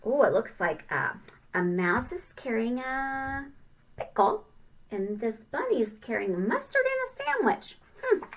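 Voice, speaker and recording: A woman teacher reading a picture book aloud to young children, with simple, expressive explanations in between. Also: on the high side, a little slow, low-quality recording.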